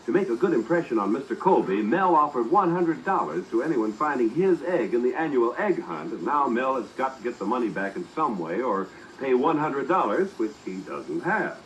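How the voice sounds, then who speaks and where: A man's voice: medium pitch, very animated, moderate pace, hardly any pauses, slight background noise, rough recording. A man narrating a script as the announcer of a vintage radio comedy show. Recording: old radio recording.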